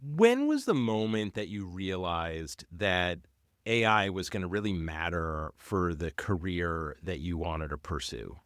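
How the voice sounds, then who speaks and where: A man hosting a career interview, asking relaxed, probing follow-up questions in a conversational way. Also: low-pitched, a little slow, no echo, clean studio sound.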